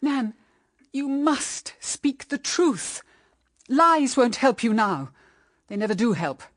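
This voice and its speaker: A woman's voice: on the high side, big pitch swings, takes it slowly, dry recording, studio quality, heavily acted. An actress playing a stern, moralising middle-aged English mother in a period radio drama, with formal, emphatic diction. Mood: stern urging.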